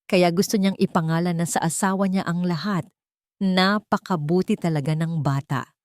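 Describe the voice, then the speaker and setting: A woman's voice: fairly low pitch, steady tone, normal speed, no room sound, studio quality, natural voice. Scheming, falsely sweet mother-in-law, voiced by a woman. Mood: fake concern. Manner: feigned concern, ingratiating.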